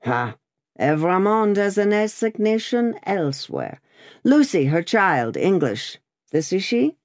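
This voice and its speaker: A woman's voice: mid-range voice, very animated, slow, no room sound, clean studio sound, voice pushed up. A woman voicing a brusque male revolutionary gate officer who barks questions in a sneering way. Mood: barking. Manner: loud, barking, sneering.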